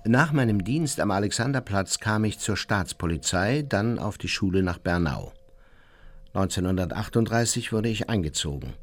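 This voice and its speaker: Husky, boastful old war criminal. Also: male voice, fairly low pitch, lively intonation, normal speed. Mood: boastful reminiscing. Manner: chatty, boastful, matter-of-fact storytelling. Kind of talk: radio play monologue as recorded reminiscence.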